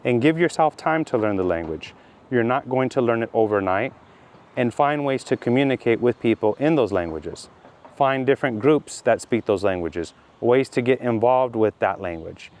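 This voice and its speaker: A middle-aged man from California with a Californian accent, teaching slowly and deliberately for language learners, repeating his key points. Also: medium pitch, animated, a little mellow. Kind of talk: slow explanatory monologue for learners.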